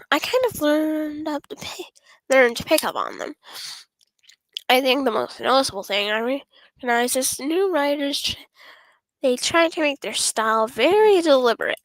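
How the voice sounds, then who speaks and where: A woman's voice: sits high, expressive, a little slow, no echo, rough recording. A woman voicing a shy, apologetic young woman from a literature club in a visual novel game, with a hesitant, stammering delivery. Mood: earnest, hesitant.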